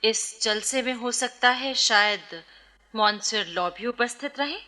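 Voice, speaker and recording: A bright, defiant young courtesan. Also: female voice, fairly high pitch, unhurried. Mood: measured, watchful. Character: bold young dancing girl, accused spy, proud and loyal. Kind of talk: Hindi historical radio play acting.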